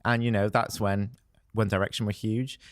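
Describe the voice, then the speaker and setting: A hoarse young man, a showbiz reporter telling festival stories in a chatty way and laughing often. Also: lowish voice, flat delivery, moderate pace, no echo, studio quality. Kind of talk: casual podcast chat.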